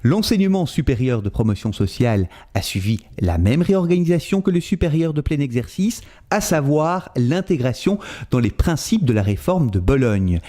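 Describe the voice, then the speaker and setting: Belgian male narrator, warm and lively, measured explainer read. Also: mid-range voice. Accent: Belgian French. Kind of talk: scripted documentary narration.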